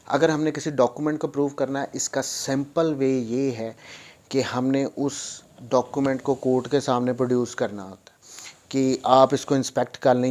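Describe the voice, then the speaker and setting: A man with a Pakistani accent giving an explanatory law lecture, switching into English legal terms, with didactic repetition and deliberate reading of clauses. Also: mid-range voice, darkish tone.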